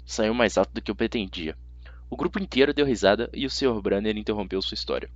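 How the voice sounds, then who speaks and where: A man's voice: lowish voice, fast, no echo, muffled recording. A man with a Brazilian accent reading a first-person story as an amateur audiobook narrator, expressively.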